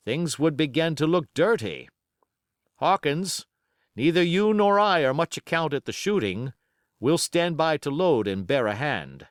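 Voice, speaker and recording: Gruff, commanding sea captain played by a male narrator, terse orders, animated delivery, storybook adventure. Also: a little high, dry recording, studio quality, pitched above the performer's own voice. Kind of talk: audiobook character acting.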